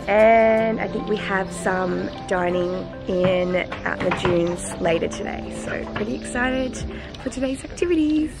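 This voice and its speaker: A young woman in her twenties talking casually and upbeat to a handheld camera while travelling outdoors. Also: mid-range voice. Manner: chatty, upbeat, breezy. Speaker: travel vlogger. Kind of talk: casual travel vlog narration. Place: outdoors at resorts and landmarks. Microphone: handheld camera at arm's length. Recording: loud music bed underneath.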